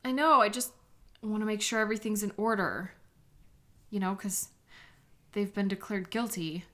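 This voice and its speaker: A woman's voice: medium pitch, steady tone, normal speed, fairly bright tone, lightly acted. A woman playing an anxious, agitated woman sketch artist in an emotional audio drama. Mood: worried.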